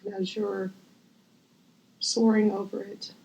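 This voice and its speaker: A woman guiding a visualization as host, gentle and unhurried with long pauses, her voice breathy and often near a whisper. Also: medium pitch, flat delivery, a little hiss or hum, low-quality recording. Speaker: guided meditation host. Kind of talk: guided visualization, meditation.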